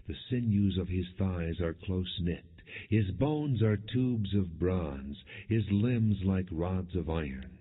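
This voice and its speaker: A man reading scripture as an audiobook narrator in a solemn, measured, declamatory manner. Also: low-pitched, husky. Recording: muffled, narrow phone-like sound.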